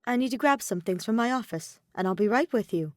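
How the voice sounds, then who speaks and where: A woman voicing a composed, mature teenage girl who speaks politely and formally in a measured way. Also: a little high, animated, no echo, clean studio sound, lightly acted. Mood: calm, polite.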